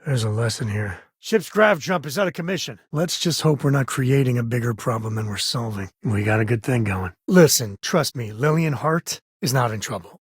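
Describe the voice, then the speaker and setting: A man playing an easygoing, wry frontier spacefarer and father in a video game, with a husky voice and folksy phrasing. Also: medium pitch, animated, normal speed, few pauses, no echo, clean studio sound. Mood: urgent. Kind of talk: acted video game companion dialogue.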